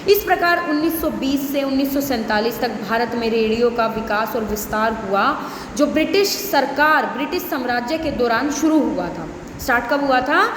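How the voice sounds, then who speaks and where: A woman's voice: a little high, animated, moderate pace. A woman giving an educational lecture, reading out notes and then explaining them with frequent restatements and check-in tags. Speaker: teacher. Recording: echoey reverberant room with background hiss.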